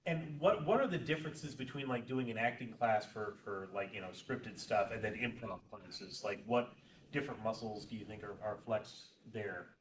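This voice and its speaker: A man's voice: on the low side, moderate pace. A man moderating a convention panel, putting long, rambling questions to the guests. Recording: echoey hall, distant mic, faint noise.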